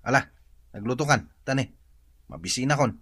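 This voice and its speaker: An actor playing a lazy, domineering young husband in a radio drama, bossy and grumbling. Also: male voice, medium pitch, animated, normal speed, fairly bright tone, husky. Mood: angry, bossy.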